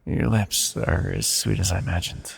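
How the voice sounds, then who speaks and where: An ancient godlike male villain, played by a man, speaks in a heavily creaking voice with a breathy edge. He is hushed and deliberate, coaxing the listener tenderly as he manipulates them. It is intimate ASMR fantasy roleplay. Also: fairly even intonation, in a large hall, exaggerated voice. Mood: tender, teasing. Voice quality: gravelly, breathy low voice.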